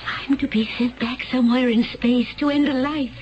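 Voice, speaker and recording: An actress playing an innocent, gentle young woman of a peaceful world, earnest and wondering, in 1970s radio drama style. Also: sits high, fairly even intonation, moderate pace, natural voice. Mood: anguished, pleading. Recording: dull, noisy 1970s radio recording.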